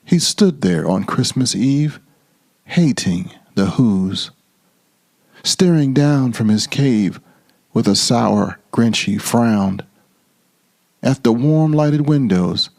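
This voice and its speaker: Male storyteller for children's book, theatrical emphasis, very expressive pitch, unhurried delivery. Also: creaky. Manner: theatrical, drawn-out emphasis and repetition. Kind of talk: dramatic children's storybook reading.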